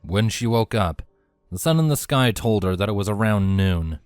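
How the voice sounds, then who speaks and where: Expressive story narrator over soft music. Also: sits low, normal speed, clean tone, no echo. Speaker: narrator, fanfiction reader.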